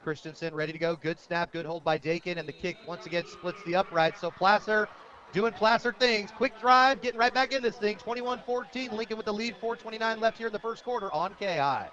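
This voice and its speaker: A man's voice: high-pitched, steady tone, fairly quick, a bit bright. An American man giving live radio play-by-play commentary of a football game. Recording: dull lo-fi radio broadcast audio.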